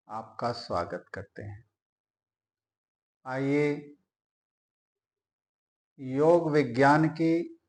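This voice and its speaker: A man teaching yoga, giving guided relaxation instructions in a deliberate way with long pauses. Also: mid-range voice.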